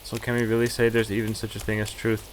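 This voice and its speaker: A man's voice: fairly low pitch, completely flat delivery, quick pace, a little hiss or hum. A man streaming a visual novel, reading the narration aloud casually, with stumbles and asides. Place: at home, streaming. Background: faint game music.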